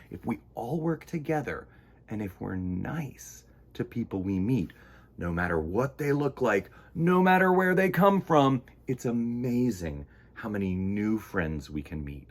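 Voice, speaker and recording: A man reads a picture book aloud for young children. His voice is husky and mid-pitched, with lively, swooping intonation. He is theatrical and exclamatory, dropping to a hush and rising again as he plays to his young listeners. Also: measured pace. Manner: theatrical, exclamatory, swings from hushed to loud. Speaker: children's storytime host.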